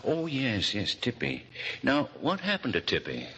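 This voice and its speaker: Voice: medium pitch, wildly swooping pitch, unhurried, bright, forceful tone, breathy edge, full character voice. An actor playing a conscientious, kindly mayor in an old-time radio drama, with an avuncular, soothing manner. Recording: reverberant old radio recording.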